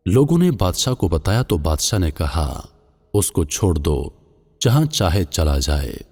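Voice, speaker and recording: Deep-voiced man narrating in heavy reverb. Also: very animated, unhurried, a little mellow, slightly rough. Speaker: storyteller, narrator of moral stories. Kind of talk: scripted narration of a religious moral tale. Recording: long hall-like reverb.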